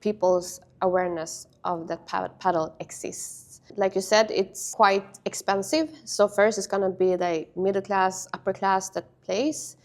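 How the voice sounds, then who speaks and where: A young adult woman who grew up in Sweden, speaking as an interview guest in halting sentences full of fillers and restarts. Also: medium pitch, darkish tone. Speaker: interview guest, padel player. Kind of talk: casual interview.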